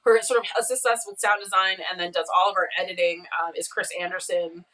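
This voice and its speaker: A woman's voice: lowish voice, fast, pushed and bright. A woman chatting casually as an interview guest, a podcast creator on a video call. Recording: slightly distant video call mic.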